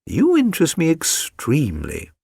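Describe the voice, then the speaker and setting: A man voicing a suave, courteous gentleman detective in a storybook reading, polite, assured and incisive. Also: a little high, huge pitch swings, normal speed, gravelly, breathy edge, no room sound, clean studio sound, pitched above the performer's own voice, exaggerated voice. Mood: interested.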